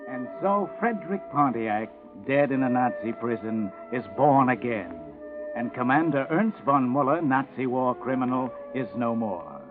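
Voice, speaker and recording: A man's voice: mid-range voice, animated, moderate pace, phone-quality sound, close to an everyday voice. With music underneath and a thin vintage radio sound, a man acts as an ominous male storyteller. He narrates 1940s suspense in a sardonic manner, as if addressing the villain himself. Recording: band-limited old radio, music underneath.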